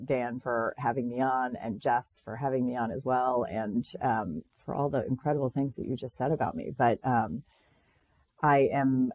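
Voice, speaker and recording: A woman's voice: very deep voice, a little mellow, no echo. A middle-aged woman from Connecticut, a company president, speaking as a podcast guest and telling her story in a friendly, earnest way with frequent ums and restarts. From Connecticut, USA. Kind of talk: casual interview storytelling.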